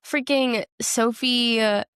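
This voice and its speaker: A woman's voice: on the high side, steady tone, slow pace, fairly bright tone, smooth, no echo, clean studio sound. A woman co-hosting a podcast, talking about TV in a blunt, informal way with emphatic slang. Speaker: podcast co-host. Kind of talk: casual TV-review chat.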